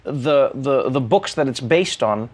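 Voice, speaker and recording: A man's voice: medium pitch, lively intonation, a little slow, darkish tone, husky. Playful man hosting an interview. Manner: playful, joking, friendly questions. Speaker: talk show host. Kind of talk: casual interview chat.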